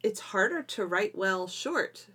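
A woman hosting a casual educational podcast, chatting in an animated way and quick to laugh. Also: mid-range voice, husky. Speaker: podcast host and homeschool teacher.

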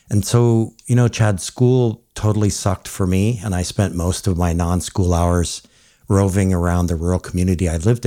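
Low, mellow male podcast host. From Hawaii. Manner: reflective, conversational questions. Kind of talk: podcast interview.

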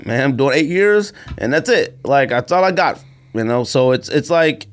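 A man's voice: mid-range voice, lively intonation, moderate pace. An American man hosting a casual podcast, talking in blunt, informal slang and acting out imagined quotes as he gives his opinions. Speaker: podcast host.

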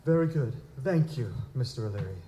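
A man's voice: medium pitch, lively intonation, fairly quick, mellow, lightly acted. A man playing a formal, touchy young nobleman, a bereaved fiancé, in an audio drama, with stiff aristocratic diction. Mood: polite, formal. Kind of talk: live audio drama acting. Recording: roomy, echoing, noisy live recording.

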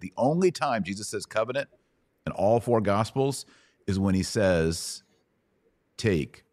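A man's voice: deep voice, darkish tone. A middle-aged man hosting a live Bible Q&A, teaching conversationally and posing questions to check understanding. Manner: didactic, asks checking questions. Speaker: podcast host teaching the Bible.

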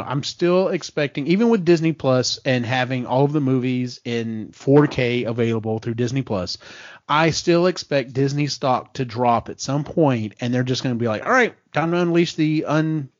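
A man in casual fan conversation as a podcast host, animated, acting out quotes and swearing freely. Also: medium pitch, no echo, dull audio. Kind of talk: casual chat recapping and discussing films.

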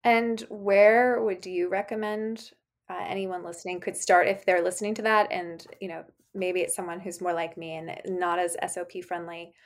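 A woman's voice: lowish voice, little pitch movement, normal speed. A woman co-hosting a podcast in casual chat, asking questions and laughing easily. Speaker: podcast co-host.

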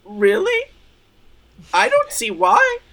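An eccentric, self-dramatizing woman, played by an improv comedian. Her voice is forceful and sharp, and it leaps up and down. She is earnest and gushing, treating everything with theatrical sincerity. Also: very high voice, wildly swooping pitch, slow, vocal fry, heavily acted. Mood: self-justifying. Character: eccentric, self-dramatizing woman role-play therapy enthusiast. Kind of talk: improvised role-play comedy.

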